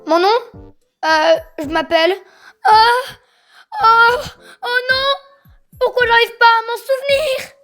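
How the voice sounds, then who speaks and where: A woman plays a bewildered young cartoon heroine with a very high, girlish voice. She is anxious and flustered, hesitating through her words in a fan dub, with light music behind her. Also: slow, pauses often, sharp tone, heavily acted. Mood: dismayed.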